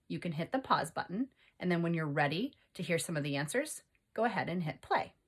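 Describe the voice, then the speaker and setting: A woman's voice: lowish voice, a bit bright, breathy edge. A woman teacher reading aloud and explaining to young children, instructing them with questions and prompts. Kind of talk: expressive read-aloud and lesson for young children.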